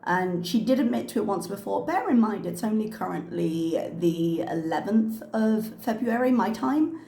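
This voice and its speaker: A woman's voice: medium pitch, expressive, normal speed, vocal fry, mellow, a little hiss or hum. A woman hosting a reaction commentary channel, talking frankly with frequent ums, her voice a little worn from a cough. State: slightly losing her voice from a cough. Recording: echoey room with faint ambience.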